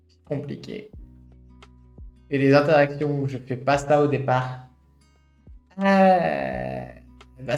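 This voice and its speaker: Man in his late twenties, roomy echo. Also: on the high side. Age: late 20s. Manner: reflective, thinking aloud with many fillers. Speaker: streamer. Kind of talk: reading a study aloud with personal commentary. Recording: roomy echo with faint guitar music.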